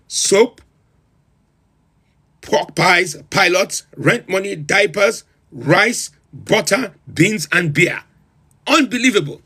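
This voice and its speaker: A man's voice: high voice, creaky, pushed and bright, husky, exaggerated voice. A man doing a pompous self-styled president. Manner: incredulous, theatrical, lists items with rising emphasis. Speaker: livestream host. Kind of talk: comedic in-character livestream rant.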